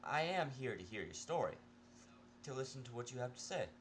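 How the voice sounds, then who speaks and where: A man voicing a calm, clinical asylum doctor questioning an inmate, in an amateur story reading. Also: medium pitch, brisk, a little hiss or hum, voice pushed up, close to an everyday voice. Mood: patient.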